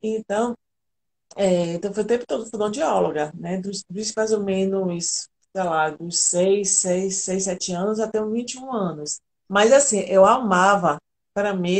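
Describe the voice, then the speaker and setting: A woman's voice: mid-range voice, creaky, a little mellow. A woman from Argentina, an integrative therapist, telling her personal story earnestly and candidly as a guest on a live video call.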